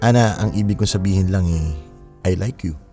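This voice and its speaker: Man as low-voiced, earnest Filipino boyfriend. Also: brisk, warm tone, soft background music. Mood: smitten, confident. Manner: earnest, casual, mixes English and Tagalog. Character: young man, earnest, attentive, smitten boyfriend. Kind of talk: Filipino romance audio drama acting.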